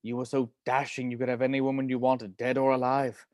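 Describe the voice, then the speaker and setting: A man's voice: mid-range voice, completely flat delivery, brisk, a little mellow, dry recording, studio quality, lightly acted. A man playing a passionate, melodramatic cigar-smoking patriarch in a comedy script table read. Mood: anguished confession. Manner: theatrical, dramatic.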